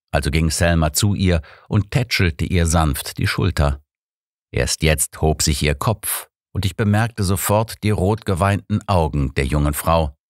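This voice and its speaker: Male narrator for a scripted audiobook, low register, dry close sound. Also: darkish tone, no room sound.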